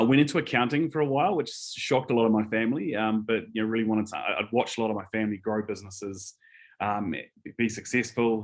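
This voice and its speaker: A man with a New Zealand accent speaks in a slightly low voice. He sounds like an executive being interviewed, casual yet earnest and keen. His phrasing is a little hesitant, and he often doubles back on his words. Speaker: business executive, interview guest.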